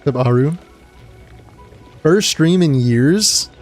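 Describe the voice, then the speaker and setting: Gravelly-voiced man chatting while streaming. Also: on the high side, animated, takes it slowly, soft background music. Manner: rambling, greets chat members, trailing fillers. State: gravelly, dry voice. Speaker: game streamer. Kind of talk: casual live-stream chat.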